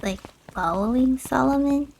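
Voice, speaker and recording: Soft-toned, higher-pitched woman chatting casually. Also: unhurried, gravelly, slight background noise, poor audio. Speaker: co-host of a game playthrough. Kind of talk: casual let's play chat.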